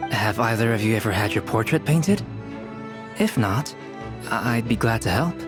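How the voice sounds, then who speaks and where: A man voicing a calm, dry and understated young male alchemist and painter, in video game dialogue. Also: on the low side, slow pace. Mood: deadpan. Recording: game audio with clear music behind.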